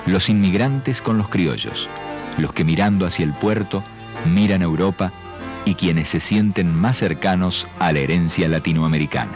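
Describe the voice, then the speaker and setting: A man presents a documentary. His delivery is formal and measured, following a script. Music plays clearly behind him. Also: sits low.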